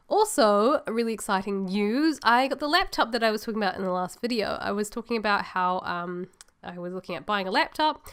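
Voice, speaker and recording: A chatty woman talks to her viewers over a drawing video, her voice stuffy and nasal from a cold. Despite the sore throat, her tone stays smooth and clean, with a mid-height pitch. She rambles in an upbeat, friendly way. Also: fairly bright tone. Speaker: illustrator vlogger. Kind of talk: casual voice-over chat to viewers.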